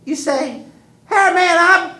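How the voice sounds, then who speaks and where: A woman doing a stern high-voiced grandma. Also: pushed and bright, roomy sound, exaggerated voice. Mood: insistent. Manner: high, insistent, fast instructions. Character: stern old grandmother giving orders.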